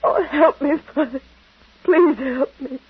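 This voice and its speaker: An actress playing a remorseful young engaged woman who has been caught out, pleading and halting, in 1940s American radio drama style. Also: sits high. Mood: anguished pleading. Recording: muffled tinny vintage radio broadcast.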